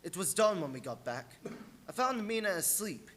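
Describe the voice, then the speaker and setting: An actor playing an earnest, tense young husband in a radio play, reporting in clipped dramatic diary style. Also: male voice, high voice, sing-song, moderate pace, a bit bright, full character voice. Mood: weary, tense. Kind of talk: live radio play acting.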